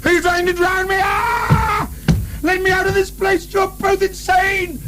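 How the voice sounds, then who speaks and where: An actor playing an outraged, panicking man who shouts in protest in a radio drama. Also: very high voice, level tone, unhurried, over loud music. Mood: outraged panic. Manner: shouting, indignant. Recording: 1990 radio broadcast, clear hiss.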